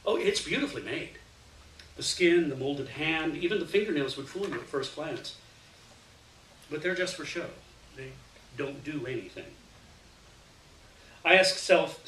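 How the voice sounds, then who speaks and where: A man reading a first-person short story aloud in an expressive, dramatic way. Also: on the high side. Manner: dramatic, animated delivery with acted dialogue. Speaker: reader of a short story to an audience. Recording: roomy, distant mic, faint noise.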